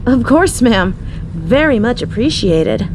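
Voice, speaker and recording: A woman's voice: a little high, sing-song, takes it slowly, background noise. A woman playing a flirtatious, teasing and protective female crime boss in an audio roleplay. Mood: respectful, businesslike. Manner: teasing, playful, breathy asides. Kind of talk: audio roleplay acting with ASMR touches.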